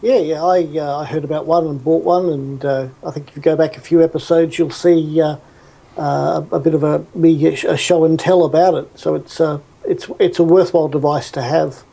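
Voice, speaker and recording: Guy co-hosting, higher creaky mellow voice, kind of halting. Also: steady tone, poor audio. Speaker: show co-host. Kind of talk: casual chat.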